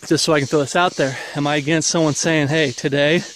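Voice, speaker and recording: A man's voice: a little high, fairly even intonation, fast talker, fairly bright tone, slight background noise, rough recording. A man in his forties, a ministry leader, gives a rambling, earnest walk-and-talk update while walking outdoors on a wooded path, filmed on a handheld camera at arm's length. He is getting over an illness. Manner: casual, rambling, earnest preaching tone.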